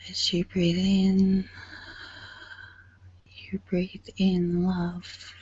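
A woman leading a guided meditation in a soothing, hushed and breathy manner, leaving long pauses. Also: fairly low pitch, monotone, lo-fi sound.

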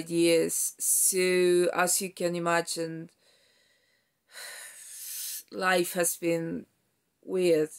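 Smooth, slow-talking woman around forty. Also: mid-range voice. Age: about 40. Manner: halting, rambling, reflective. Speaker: vlogger. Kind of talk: reflective personal vlog, casual talk.

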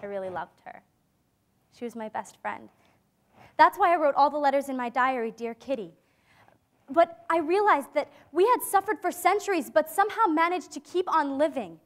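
A young woman playing an earnest, hopeful teenage girl in hiding, in a dramatic stage reading with deliberate phrasing. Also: sits high, lively intonation. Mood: sorrowful recollection. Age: young adult.

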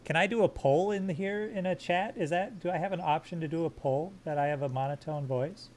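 A man with a slightly high, fairly monotone voice talks through a live game stream. He jokes crudely with chat while fiddling with his squad's gear. Faint game ambience hums underneath. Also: fairly quick, a little hiss or hum. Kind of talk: live gameplay commentary.

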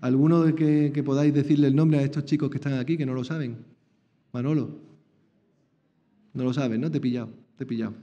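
Chatty host in a dull, echoing hall. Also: male voice, medium pitch, steady tone, fairly quick, long pauses, warm tone. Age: middle-aged. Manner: chatty, repeats words, many tag questions. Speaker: host of a chess talk. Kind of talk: live public interview. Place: small lecture hall. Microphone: table mic. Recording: dull reverberant hall sound.

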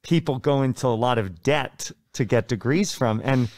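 A man's voice: mid-range voice, normal speed, a little mellow, dry recording. An American man hosting a conversational interview, speaking through a face mask into a close microphone. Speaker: podcast host. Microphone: close studio mic on stand.

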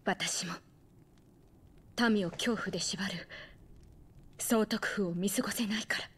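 A woman's voice: medium pitch, steady tone, slow pace, husky. Composed young noblewoman fighter. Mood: quiet resolve. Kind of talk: Japanese video game voice acting.

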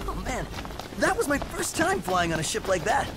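High-voiced, chatty young sidekick. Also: male voice, huge pitch swings, moderate pace, background noise, heavily acted. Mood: relieved. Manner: casual, boyish, curious. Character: excitable, chatty young male sidekick in fantasy game.

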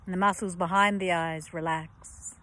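A woman yoga teacher guiding a relaxation session in a slow, soft, soothing voice with long pauses, at times breathy and close to a whisper. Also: fairly low pitch, fairly even intonation. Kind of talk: guided yoga nidra relaxation.

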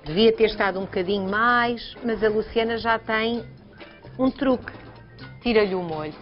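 A woman's voice: on the high side, normal speed. A woman in her thirties with a European Portuguese accent, hosting a live TV cooking segment and chatting teasingly with her guest. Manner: chatty, teasing, plugging a phone contest. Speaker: TV show host. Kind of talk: live daytime TV cooking chat. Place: bright TV studio kitchen set. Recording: dull broadcast sound with music.

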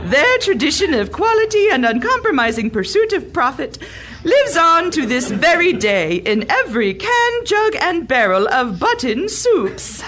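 Posh old-time first lady radio ad voice, high, super swoopy, stately n drawn out. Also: very animated, unhurried, a bit bright, some chatter behind, exaggerated voice. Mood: grandly promotional. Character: grand, warbling parody First Lady hawking soup. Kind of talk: parody 1940s radio commercial.

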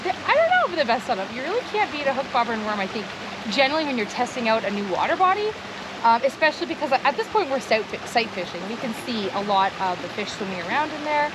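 Higher-voiced Canadian woman talking by a stream. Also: very animated. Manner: conversational, explanatory. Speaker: fishing presenter. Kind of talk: casual unscripted outdoor chat with tips. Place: outdoors on a wooded creek bank. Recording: outdoor recording with clear rushing stream noise.

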